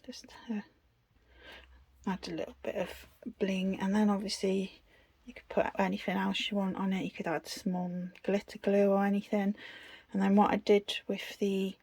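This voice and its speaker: Even-toned British woman, chatty crafter. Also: mid-range voice, moderate pace, creaky, slightly rough, no echo. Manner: chatty, self-deprecating. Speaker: craft video host. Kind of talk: casual unscripted craft tutorial.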